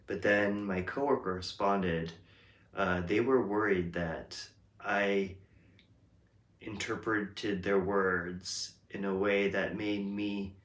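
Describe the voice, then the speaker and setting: A man's voice: low voice, fairly even intonation, slow pace, fairly bright tone. A man whose first language is English, hosting a reflective casual monologue, talking hesitantly with many ums and restarts. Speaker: host. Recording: roomy echo.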